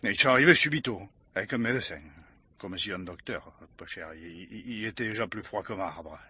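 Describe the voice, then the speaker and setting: An actor playing an excitable, folksy cemetery caretaker in a radio mystery, stammering and rambling. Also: male voice, lowish voice, fairly quick, slightly rough, no echo, full character voice. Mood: chatty, shaken. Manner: flustered, stammering, chatty, mangles learned words. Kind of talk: French radio mystery play acting.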